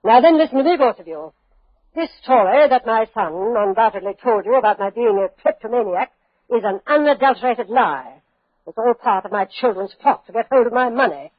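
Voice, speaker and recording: An actress playing a cantankerous old British dowager duchess, haughty and brusque, in a 1940s radio drama. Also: female voice, a little high, lively intonation, brisk, no room sound. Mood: commanding, indignant. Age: older. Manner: haughty, brusque, cuts people off. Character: imperious, cantankerous old dowager duchess, snuff-loving and brazen. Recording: muffled old radio recording.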